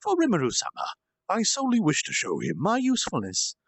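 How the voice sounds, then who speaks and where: A man's voice: high-pitched, huge pitch swings, a little fast, creaky, dry recording, voice pushed up, full character voice. A man voicing a devoted demon servant with a friendly, polite and deferential manner in a storybook reading. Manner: deferential, formal, eager to serve.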